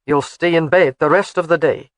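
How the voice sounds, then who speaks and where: A woman's voice: low voice, fairly even intonation, moderate pace, no room sound, lightly acted. A woman voicing a commanding, protective laird in an audiobook who gives firm, clipped orders.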